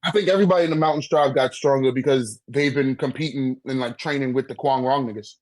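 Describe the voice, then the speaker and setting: A man's voice: medium pitch, quick pace, a little mellow. A man in a casual fan debate, talking in loose, profane slang and repeating himself for emphasis.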